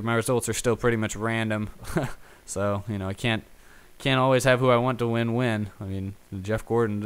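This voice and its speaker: A man's voice: lowish voice, smooth. A young man hosting a casual solo talk show, chatting off the cuff in a rambling, self-deprecating way. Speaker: host of a YouTube racing talk show. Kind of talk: casual unscripted solo chat.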